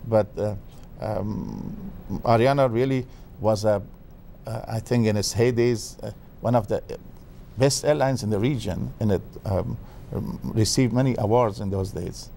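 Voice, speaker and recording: A man from Afghanistan, a guest expert in a TV interview, speaking haltingly with many fillers and restarts. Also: on the low side, gravelly, dark tone, slight background noise.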